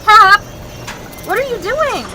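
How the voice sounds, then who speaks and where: Perky young spaceship crewwoman, played by a woman, very high, bright, emotional, faint noise behind. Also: very animated, slow, exaggerated voice. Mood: alarmed. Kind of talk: sci-fi sitcom audio drama acting.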